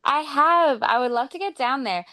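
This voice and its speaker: A woman with an Australian accent chatting casually as an interview guest, often softening points with filler phrases like "kind of". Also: high-pitched, expressive, normal speed, dry recording. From Sydney, Australia. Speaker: guest singer-songwriter.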